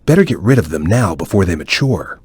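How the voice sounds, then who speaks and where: Serious careful game ninja giving hints, husky voice, really dry close recording, kinda formal. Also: male voice, sits low, sing-song, no room sound, full character voice. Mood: watchful, serious. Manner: measured, formal, serious and advisory. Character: stern, watchful cartoon ninja guide, calm and cautious. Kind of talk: video game voice acting, short hint lines.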